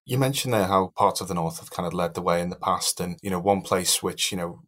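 A man with a deep, low voice acts as interviewer on a political podcast. His questions are conversational and discursive, phrased informally as he works toward the point. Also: brisk, a bit bright.

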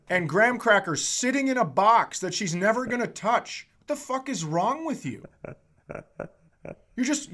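A man with a slightly high voice tells casual, comedic stories as a podcast host. He rants with comic exaggeration and swears freely. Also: a bit bright. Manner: profane, exaggerated ranting.

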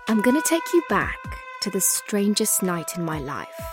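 A woman's voice: medium pitch, very animated, moderate pace, over music. A woman hosting a podcast, giving candid and wry scripted documentary narration.

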